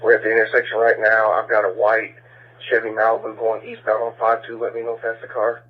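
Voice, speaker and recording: A man's voice: lowish voice, flat delivery, fast. An American man working as a patrol officer, making clipped, procedural calls over a police radio during a pursuit. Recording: muffled police radio scanner transmission.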